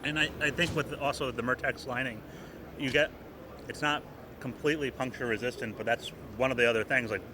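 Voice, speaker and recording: A man's voice: medium pitch, fairly even intonation, a little fast. A man co-hosting a casual interview at a trade show, chiming in with conversational commentary. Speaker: co-host. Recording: noisy busy venue background.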